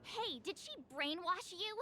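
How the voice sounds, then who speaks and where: Very squeaky little companion girl. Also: animated, unhurried, pushed and bright, slight background noise, full character voice. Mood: alarmed. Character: tiny childlike excitable companion girl. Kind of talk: video game voice acting.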